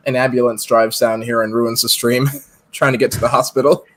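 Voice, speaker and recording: A man's voice: mid-range voice, level tone, a little fast, fairly bright tone, a little hiss or hum, low-quality recording. A man in his thirties co-hosting a casual live-stream podcast from home, laughing often and reading the chat aloud. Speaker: podcast co-host. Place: home room, on video call.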